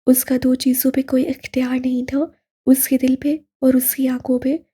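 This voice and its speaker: A woman narrating a romantic novel as a scripted audio story, in a soft and very breathy voice. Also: high voice.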